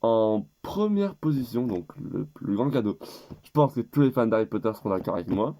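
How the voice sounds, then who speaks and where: A man's voice: lowish voice, expressive, normal speed, warm tone. A teenage boy with a head cold, rambling and hesitant as he presents gift picks in a casual vlog. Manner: rambling and hesitant, with many restarts. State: stuffed up with a cold. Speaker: YouTuber.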